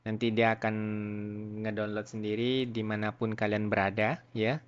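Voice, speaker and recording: A man giving a casual software tutorial in an informal, slangy, chatty way, addressing viewers as a YouTuber. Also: lowish voice, little pitch movement, normal speed, smooth, lo-fi sound.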